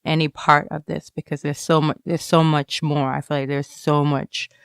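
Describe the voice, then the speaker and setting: A woman's voice: sits low, flat delivery, normal speed, vocal fry, smooth, no echo, clean studio sound. A woman speaking as a guest in a candid personal interview, reflective and often searching for words as she goes. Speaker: interview guest, student writer.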